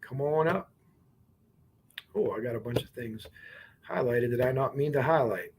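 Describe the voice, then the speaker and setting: A man's voice: mid-range voice, normal speed, a little mellow, slight background noise. A man with a Pittsburgh accent hosting a true crime livestream, casually reading court documents aloud and talking bluntly to his viewers. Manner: blunt, chatty, swears, talks to viewers and chat. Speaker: true crime show host.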